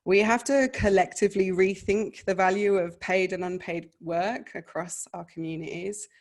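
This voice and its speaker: A woman with a slight Australian accent speaking as a panelist, giving earnest, measured remarks on a video call. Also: mid-range voice, steady tone, darkish tone.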